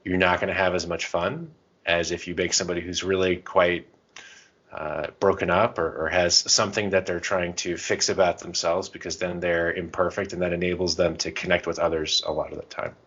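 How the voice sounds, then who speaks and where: A man with a low but bright voice talks casually as a podcast co-host. He rambles and thinks out loud as he goes. The recording is a little dull and lo-fi. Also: little pitch movement, fairly quick. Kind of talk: casual chat about tabletop roleplaying and comics.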